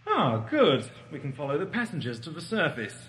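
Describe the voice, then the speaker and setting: A man's voice: fairly high pitch, huge pitch swings, a little fast, fairly bright tone, a little hiss or hum. A man playing an eccentric, absent-minded time traveller in an audio drama, with a breezy, distracted manner. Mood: cheerful. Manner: breezy, distracted, muttering to himself. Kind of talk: fan audio drama acting. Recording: echoey, with music behind.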